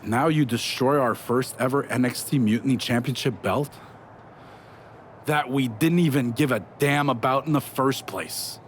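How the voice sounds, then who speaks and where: A man's voice: mid-range voice, normal speed, slight background noise, close to an everyday voice. A man performing a smug wrestling villain's scripted promo in a video game, sarcastic and gloating. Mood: mock admiration. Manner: sarcastic, gloating, stuttering restarts for mockery. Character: smug, taunting male wrestling villain boss.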